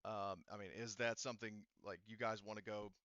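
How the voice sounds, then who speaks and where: A man improvising tabletop game narration as game master, halting and explanatory, often circling back to clarify. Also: fairly low pitch, level tone, a bit bright, clean tone, no echo, lo-fi sound.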